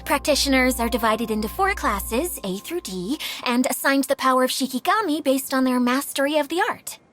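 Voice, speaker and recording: Eager, high-voiced magical caretaker girl. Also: lively intonation, normal speed, fairly bright tone, faint music behind. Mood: proud, enthusiastic. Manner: formal, earnest, effusive, apologetic. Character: eager, polite young female caretaker of a magical realm. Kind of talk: game cutscene character dialogue.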